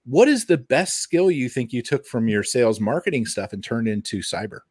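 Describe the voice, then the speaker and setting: A man co-hosting a podcast in casual chat, animated in the way he talks. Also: medium pitch, normal speed, husky, dry recording. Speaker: podcast co-host.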